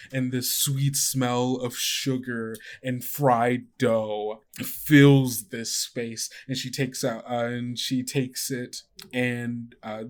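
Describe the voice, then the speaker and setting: Male game master, slow-paced and mid-pitched, improvising lush sensory description in a halting, conversational way. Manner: halting, savoring sensory detail. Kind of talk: improvised descriptive narration for an actual-play tabletop game.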